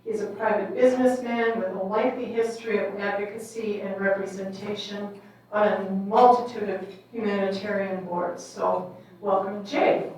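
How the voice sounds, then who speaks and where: A woman moderating a public forum, reading out speaker introductions with pauses and fillers. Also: mid-range voice, fairly even intonation, a little mellow. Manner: reads bios, with pauses and fillers. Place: large echoey meeting hall. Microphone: distant microphone. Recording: echoey hall, mic far off.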